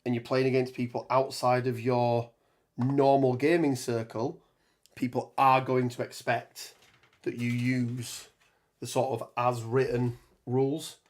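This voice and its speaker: Man, hoarse from a cold, rambling live-stream chat, mid-pitched voice, lots of ums. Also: flat delivery, unhurried. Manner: rambling, many ums and restarts, reads chat aloud. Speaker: tabletop gaming streamer. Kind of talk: casual live stream chat while building terrain.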